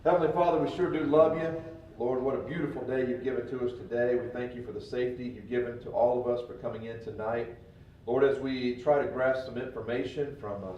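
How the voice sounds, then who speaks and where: A man's voice: mid-range voice, slight background noise. A man earnestly leading a spoken prayer for a community meeting. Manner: earnest, reverent. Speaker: leads prayer and pledge. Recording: very echoey, far, dull lo-fi sound.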